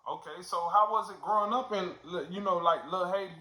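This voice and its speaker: A man's voice: high voice, fairly quick, sharp tone, echoey room, poor audio. A man hosting a casual interview in slangy, informal language with a hype-man delivery. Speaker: interview host.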